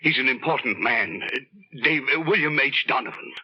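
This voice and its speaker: An actor playing an anxious, weak-willed county physician, stammering and pleading in a husky voice, 1940s radio drama style. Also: male voice, medium pitch, expressive, moderate pace, muffled recording. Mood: agitated, pleading. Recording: band-limited 1940s radio recording.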